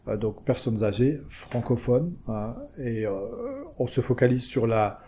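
A man's voice: mid-range voice, unhurried, dark tone. A man answering interview questions as a community organization manager, hesitant, with frequent fillers, restarts and tag words. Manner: tags phrases with "hein".

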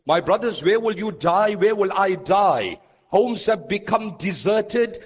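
High-pitched male preacher in a reverberant hall. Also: expressive, unhurried. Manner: dramatic, rhetorical questions and emphatic repetition. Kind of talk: impassioned Islamic sermon with Arabic quotations. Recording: long reverberant hall echo.

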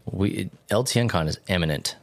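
A man's voice: low-pitched, a little fast, creaky. A man from Texas hosting a podcast in casual chat, rambling and correcting himself as he goes. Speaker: podcast host.

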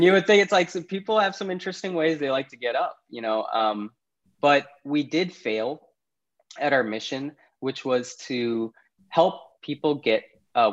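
A man's voice: mid-range voice, a bit bright, lo-fi sound. A man from Pakistan, chatting easily as a podcast guest, laughing often between thoughts. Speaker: podcast guest, startup CEO. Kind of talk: casual interview conversation.

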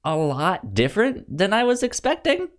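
A man co-hosting a podcast, chatting casually about films in a halting way, often repeating the starts of words. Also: high-pitched, huge pitch swings. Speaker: podcast co-host. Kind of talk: casual film discussion.

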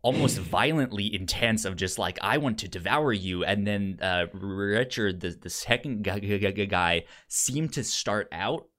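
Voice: deep voice, expressive, measured pace, few pauses, a bit bright. A podcast host discusses film in a casual, conversational style. The delivery is hesitant, with frequent stammering on the first sounds of words and repeated starts.

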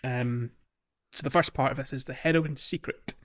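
A man's voice: medium pitch, fairly even intonation, moderate pace. A man co-hosting a podcast, chatting casually about a video game in a hesitant, conversational way. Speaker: podcast co-host. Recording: dull lo-fi audio, music behind. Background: music.

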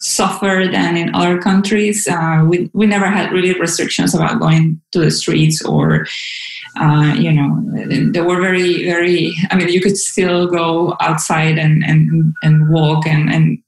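A woman's voice: on the low side, little pitch movement, measured pace, roomy sound, poor audio. A woman from Venezuela whose first language is Spanish, speaking as an interview guest over a video call, hesitant and full of ums and restarts. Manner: hesitant, many ums and restarts, laughs often. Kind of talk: casual remote interview.

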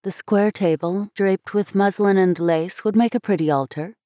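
A woman reading aloud, voicing a patient, gentle mother in a storybook. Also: medium pitch, moderate pace, no echo, studio quality, lightly acted. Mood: calm. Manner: calm, reflective.

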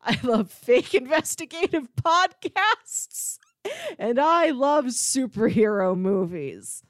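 A woman's voice: a little high, lively intonation, takes it slowly, dry recording, studio quality. A woman co-hosting a casual podcast, chatting in an enthusiastic, effusive way. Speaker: podcast co-host.

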